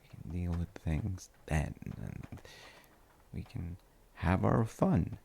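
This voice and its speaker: A man playing a gentle, reflective dad in an intimate audio roleplay, musing softly and reassuringly to a tired child with thoughtful pauses. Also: big pitch swings, slight background noise, heavily acted. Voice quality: gravelly, creaky low voice. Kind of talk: soft intimate sleep-aid audio roleplay.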